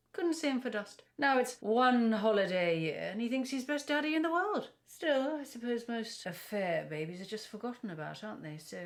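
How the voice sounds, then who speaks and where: An actress playing a haughty, gossipy middle-aged British aunt with a theatrical, scornful manner in an interactive film. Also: female voice, medium pitch, vocal fry. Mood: bitter, catty. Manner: theatrical, catty, gleefully scornful.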